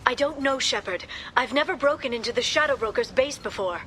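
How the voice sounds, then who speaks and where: A woman's voice: sits high, expressive, fairly quick, sharp tone, slight background noise. An actress playing a determined, guilt-burdened alien woman in a video game, speaking in an earnest, clipped, serious way. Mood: urgent, wry. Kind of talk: dramatic video game voice acting. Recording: music behind the voice. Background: game soundtrack music.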